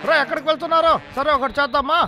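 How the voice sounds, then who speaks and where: An actor voicing a scheming, fawning logger villain with a short temper, in an exaggerated cartoon dub with excitable salesman patter. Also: extremely high pitch, racing. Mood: wheedling. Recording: music behind the voice.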